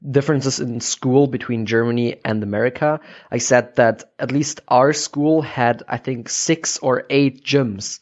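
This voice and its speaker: A man's voice: mid-range voice, unhurried, hardly any pauses. Casual male podcast host, dull audio. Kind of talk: casual interview.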